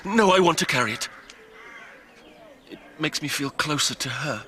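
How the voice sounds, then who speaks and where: A man's voice: on the high side, lively intonation, normal speed, pushed and bright. An actor playing an insistent, lovesick man in a theatrical radio drama manner. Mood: anguished pleading. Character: lovesick, pleading male sanatorium patient.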